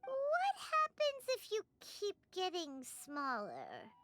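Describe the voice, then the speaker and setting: A woman voicing a tiny, excitable childlike fairy companion in a video game, with a squeaky voice and an emotional delivery. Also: takes it slowly, loud music behind the voice, heavily acted. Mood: worried. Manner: emotional, refers to self by name.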